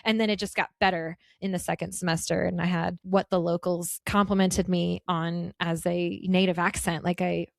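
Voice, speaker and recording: A woman in her thirties from the United States, a guest chatting upbeat and quickly on a travel podcast. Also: lowish voice, flat delivery, gravelly, no room sound. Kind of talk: casual interview conversation.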